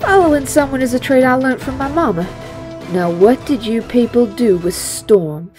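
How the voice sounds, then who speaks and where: A woman's voice: fairly high pitch, normal speed, over music, lightly acted. A woman playing a tough, vengeful superheroine with a sassy, menacing drawl in an audio drama. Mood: taunting, menacing.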